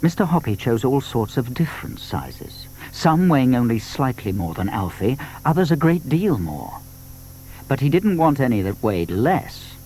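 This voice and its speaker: Animated British male storyteller. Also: mid-range voice, normal speed, slight background noise, low-quality recording. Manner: expressive storytelling. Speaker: narrator. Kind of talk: scripted children's story narration.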